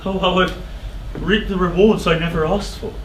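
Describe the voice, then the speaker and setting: A man's voice: sits high, steady tone, moderate pace, lightly acted. An actor playing a proud, hot-tempered king in a stage verse drama, declamatory and scornful. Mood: bitter accusing. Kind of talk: theatrical verse stage acting. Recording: echoey theatre stage recording, faint noise.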